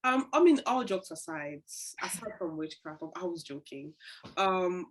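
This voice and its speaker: A woman's voice: lowish voice, lively intonation, measured pace. A woman who grew up in Cameroon and Tanzania, co-hosting a discussion podcast with a playful, emphatic, joking manner. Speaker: podcast co-host. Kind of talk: casual discussion.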